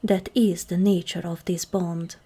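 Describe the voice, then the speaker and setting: A woman playing a regal, seductive ancient demon queen in an ASMR roleplay, measured and commanding, with a husky, breathy, creaky voice that often softens toward a whisper. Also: lowish voice, little pitch movement. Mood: solemn, persuasive. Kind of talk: ASMR roleplay, scripted monologue to the listener.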